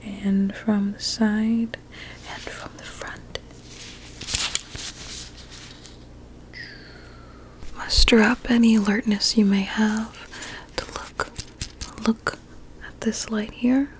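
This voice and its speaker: A woman playing a vain, sinister blueberry doctor in an ASMR medical roleplay, speaking in a soft breathy whisper with soothing menace. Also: medium pitch, level tone, slow pace, slight background noise, natural voice. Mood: probing, clinical.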